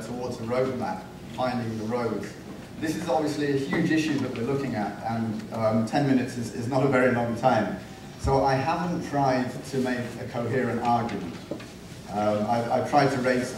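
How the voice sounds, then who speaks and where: A man giving a conference panel talk, relaxed and self-deprecating with light humor. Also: mid-range voice, noisy background. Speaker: university panelist. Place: large conference room. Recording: echoey hall, distant mic.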